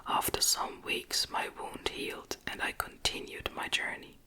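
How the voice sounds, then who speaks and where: A woman reads a gothic novel aloud in a gentle, breathy ASMR whisper, with measured, deliberate phrasing, close to the microphone. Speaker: audiobook reader.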